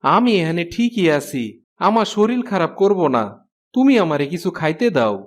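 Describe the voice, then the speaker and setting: A man's voice: high-pitched, sing-song, normal speed, noisy background. A man with a Bangladeshi accent voicing an ailing, downhearted but loving village father in a cartoon. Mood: fond. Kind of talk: Bengali fairy tale cartoon dubbing.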